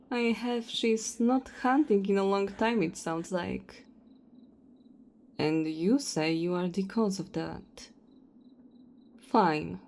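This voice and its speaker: A woman acts out a menacing female vampire hunter in an audio drama. She talks very slowly in a mid-pitched voice, cold and contemptuous, taunting with each deliberate phrase. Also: a little mellow. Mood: grudging, challenging. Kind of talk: audio roleplay acting.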